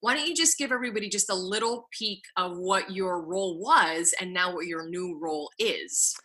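A woman's voice: fairly low pitch, normal speed, sharp tone, slightly rough. A woman co-hosting a podcast, chatting casually and encouraging her co-host. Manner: encouraging, lots of "mm-hmm" backchannel. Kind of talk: casual chat.

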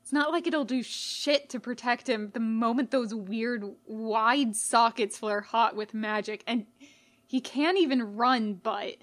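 A woman narrating scripted fiction in a measured, dramatic way with pauses. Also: fairly high pitch, fairly bright tone. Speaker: podfic reader.

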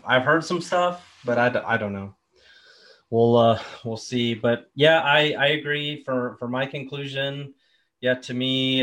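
A man hosting a casual gaming chat, relaxed and full of fillers like "um" and "like". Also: mid-range voice. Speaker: podcast host.

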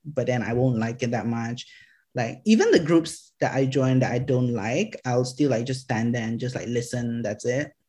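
A young man from Malaysia, chatting in an interview, rambling with lots of "like" fillers and frequent laughs. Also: mid-range voice. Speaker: social media creator, guest. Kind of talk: casual interview chat.

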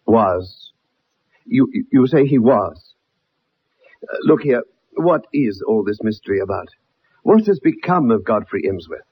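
An actor playing an earnest, persistent ex-soldier in a radio drama, polite but insistent as a troubled, loyal friend. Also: male voice, fairly low pitch, big pitch swings, unhurried, long pauses, slightly rough, exaggerated voice. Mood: urgent. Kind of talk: 1960s radio drama acting, first-person narration. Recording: muffled old radio broadcast recording.

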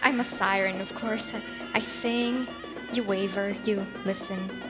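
An actress playing a playful, seductive siren, cooing and teasing coyly in an audio comedy. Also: female voice, mid-range voice, fairly even intonation, a little slow, loud music behind the voice, natural voice. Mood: flirtatious. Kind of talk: live audio comedy acting.

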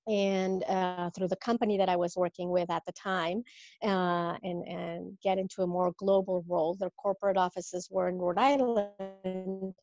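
Mexican-born woman coach, fifties, lower register, thoughtful and halting, dry muffled line. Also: level tone, darkish tone, no echo, soft background music. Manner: thoughtful, with many fillers and restarts. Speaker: guest, business coach. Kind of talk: casual interview.

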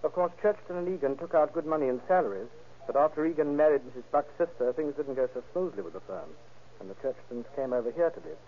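An actor playing a polite gentleman witness with formal wording in an old radio drama. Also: on the high side, animated, fast, slight background noise, lightly acted. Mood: courteous. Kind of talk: 1930s radio acting. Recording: muffled old radio recording.

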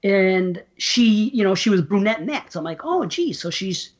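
A man's voice: high voice, animated, moderate pace, muffled recording. A man hosting a podcast, talking hesitantly with many stammered restarts. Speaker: podcast host. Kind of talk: casual interview chat.

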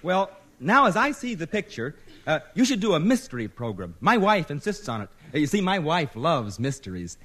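High-pitched, pompous eccentric businessman. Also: sing-song, moderate pace, fairly bright tone. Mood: stubborn. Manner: pompous, hemming, stubborn. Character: fussy, eccentric male businessman, a would-be radio sponsor. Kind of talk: 1940s radio comedy acting.

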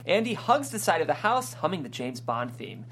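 A woman's voice: deep voice, very animated, moderate pace, soft background music. A woman reading stage directions as narrator at a live script table read.

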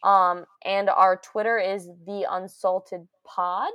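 A young woman from the Midwest with a fairly deep voice, co-hosting a podcast in casual, giggly chat. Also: fairly even intonation, takes it slowly, fairly bright tone, smooth, dry recording, muffled recording. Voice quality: deeper voice. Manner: giggly, lots of fillers. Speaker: podcast co-host.

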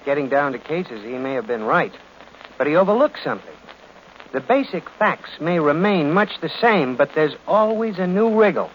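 An actor playing a glib, wry detective's assistant who narrates and needles in old radio drama. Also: male voice, fairly high pitch, very animated, moderate pace. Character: breezy, wisecracking male assistant and narrator of detective. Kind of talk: 1940s radio acting. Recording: muffled old radio recording, faint noise.

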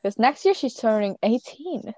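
A woman's voice: on the high side, lively intonation, mellow, no room sound. A teenage girl in casual, teasing chat with a friend, giggling often. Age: teens. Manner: giggly, teasing, quick banter. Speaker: co-host.

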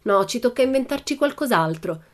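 A woman's voice: a little high, expressive, a little fast, voice pushed up, close to an everyday voice. A woman voicing a bold, cheerful little red-haired girl who tells breezy tall tales in a storybook reading.

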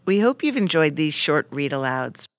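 A woman's voice: low voice, big pitch swings, moderate pace, no echo, clean studio sound. A woman hosting a podcast, giving scripted introductions and asking interview questions. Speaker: podcast host.

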